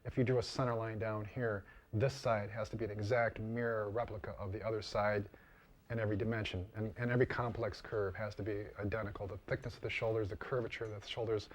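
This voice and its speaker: A man's voice: on the low side, little pitch movement, fast. A man being interviewed as a costume and prop maker, explaining informally and often repeating and rephrasing himself.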